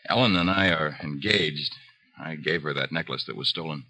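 A man's voice: a bass voice, normal speed, pushed and bright. A man playing a glib, affable young fiancé in a 1950s radio drama, breezy and polite. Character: smooth-talking, affable young fiancé hiding something.

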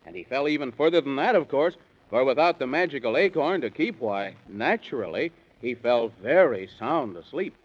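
A man's voice: medium pitch, animated, normal speed. A man narrating a 1930s children's radio serial in theatrical, sing-song rhyme. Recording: dull, lo-fi 1930s radio recording.

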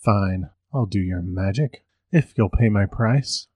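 A man's voice: lowish voice, slow, darkish tone, slightly rough, no room sound, clean studio sound. A man doing a smug, growling old witch. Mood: bargaining.

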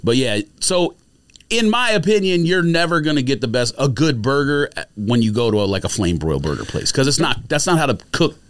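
A man's voice: medium pitch, sing-song, brisk, no echo. A man in casual podcast conversation, explaining things and giving his opinions in a conversational, explanatory way. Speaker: podcast panelist. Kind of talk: casual food chat. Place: podcast studio.

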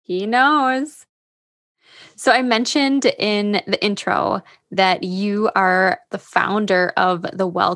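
A woman's voice: mid-range voice, creaky, fairly bright tone, no room sound, clean studio sound. A woman hosting an interview, upbeat and enthusiastic as she asks her guest questions. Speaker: podcast host. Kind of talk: casual interview chat.

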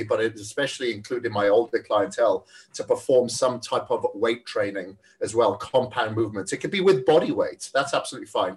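A man's voice: lowish voice, distant mic. A Welsh man who is a fitness trainer, answering interview questions in a friendly, chatty way and laughing easily. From Wales.

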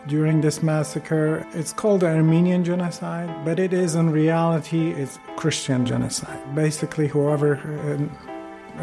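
A middle-aged man from Iraq telling his family's story of persecution in an earnest, halting way. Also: a little high. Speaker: interviewee. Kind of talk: personal testimony.

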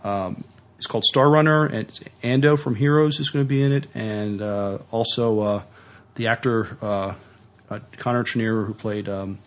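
A man co-hosting a podcast, chatting casually with a hesitant, halting delivery. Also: fairly low pitch, fairly even intonation. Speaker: podcast co-host. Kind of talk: casual news chat.

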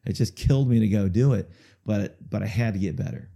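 A man chatting in an interview about his music, talking in a halting way with lots of fillers, restarts and repeated words, chuckling now and then. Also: lowish voice, creaky, mellow. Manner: chuckles through stories. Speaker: musician guest. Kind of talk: casual rambling interview storytelling.

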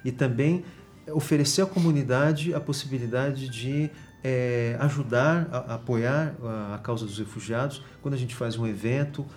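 A Brazilian man speaks as an interview guest, his pitch sitting in an ordinary middle range. He explains points patiently and earnestly, pausing often on "é" to gather his thoughts. Faint music plays behind him. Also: a little mellow. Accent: Brazilian Portuguese. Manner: earnest, measured explanations with frequent hesitations. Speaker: university professor, guest.

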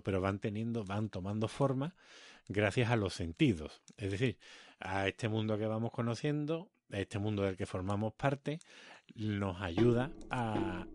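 Man, podcast co-host, low voice, dry close sound, rambling playful explanations. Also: no echo. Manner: explains at length with fillers and restarts, playful. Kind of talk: casual podcast chat.

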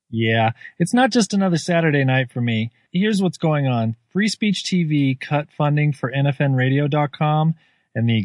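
A man's voice: a little high, dry recording, studio quality. A man hosting a podcast, reading an earnest, self-deprecating intro while recording under a comforter in his garage on a cheap microphone. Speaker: podcast host. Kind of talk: scripted spoken intro. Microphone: cheap home microphone.

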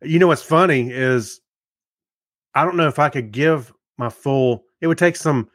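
A man's voice: medium pitch, unhurried, a bit bright, no room sound. A man in easy podcast banter who wanders through his points and laughs often. His voice sits in a mid range. The recording is dry and close. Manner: rambling, laughs often, restarts. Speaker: podcast co-host. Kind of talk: casual banter.

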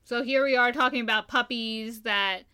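A woman's voice: a little high, steady tone, moderate pace, pushed and bright, clean tone. A woman co-hosting a podcast, chatting casually in a playful, giggly, rambling way. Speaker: podcast co-host. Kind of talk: casual chat. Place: Japan.

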